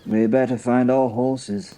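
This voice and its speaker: A man's voice: mid-range voice, little pitch movement, a little slow, mellow, natural voice. A man playing a battle-hardened medieval knight in an audio drama, a loyal comrade who swears and stammers. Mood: wry, gruff.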